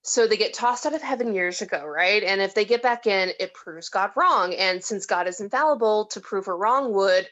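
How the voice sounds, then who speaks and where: A woman's voice: medium pitch, moderate pace, few pauses, fairly bright tone, dull audio, natural voice. A woman acting a tipsy, disillusioned woman who rambles and giggles in a read-through of a film script. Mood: giggly, incredulous. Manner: rambling, slightly slurred, giggly.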